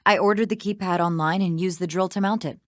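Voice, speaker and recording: Woman acting sardonic lawyer, video game dialogue, slightly low pitch, matter-of-fact, weary of small-town folk. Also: steady tone, fast talker, no room sound, close to an everyday voice. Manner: dry, exasperated, matter-of-fact.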